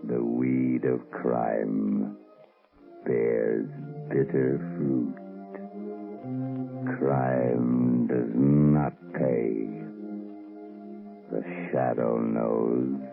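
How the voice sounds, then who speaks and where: An extremely deep, gravelly voice crawls out over music on a tinny old radio recording. a sinister, all-knowing judge of evil, intoning each phrase very slowly and ominously. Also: male voice, fairly even intonation, exaggerated voice. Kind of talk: 1930s radio drama sign-off.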